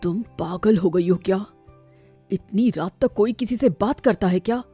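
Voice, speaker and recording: A woman's voice: medium pitch, a little slow, dark tone, breathy edge, soft background music. A woman voicing an exasperated working husband who scolds and lectures in a storybook tale. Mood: annoyed. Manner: reproachful, lecturing.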